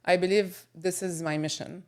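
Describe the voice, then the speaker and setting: Low-voiced Lebanese-born woman, unhurried. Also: steady tone, a little mellow. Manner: thoughtful, with frequent pauses and fillers. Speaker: guest photographer. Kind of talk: casual interview conversation.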